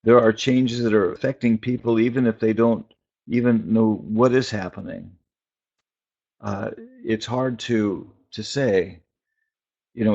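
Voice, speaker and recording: A man giving an informal, reflective sermon in short, halting phrases with long pauses between them. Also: lowish voice, darkish tone. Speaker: preacher and spiritual teacher. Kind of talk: informal prophetic sermon on a conference call. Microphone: phone conference call. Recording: dull, band-limited conference call audio.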